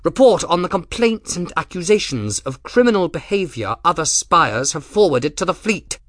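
Arrogant sneering commodore, raised pitch, loud and contemptuous; a man doing the voice in a dry audiobook. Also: very animated, moderate pace, no room sound. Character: sneering arrogant male commodore, haughty and threatening.

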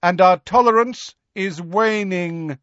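Guy reading as a proud stern centaur, smooth formal talk, calm menace, voice lifted above his own, storybook style. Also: mid-range voice, a little slow, no echo, highs cut off, pitched above the performer's own voice. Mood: warning.

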